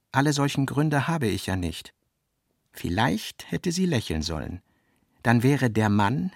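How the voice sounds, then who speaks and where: Gravelly, husky male literary narrator. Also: medium pitch, dry recording, clean studio sound. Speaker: narrator reading a novel. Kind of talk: scripted literary narration for radio.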